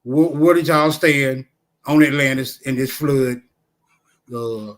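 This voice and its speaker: A man in an informal debate, putting questions to others in formal, deliberate wording. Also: mid-range voice.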